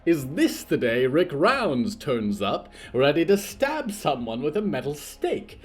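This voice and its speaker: A man's voice: on the high side, huge pitch swings, a little slow, breathy edge, faint music behind, voice pushed up, exaggerated voice. A man playing an anxious, fussy male devil in an audio drama, with an emphatic, exasperated delivery.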